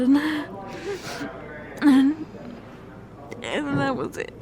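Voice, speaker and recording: A woman's voice: high-pitched, a little mellow. A woman playing a shaky-voiced, tearful young fiancée. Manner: halting, stammering, repeating words. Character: distraught young woman fiancée, loving, emotionally raw. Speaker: ASMR roleplay performer. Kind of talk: ASMR audio roleplay.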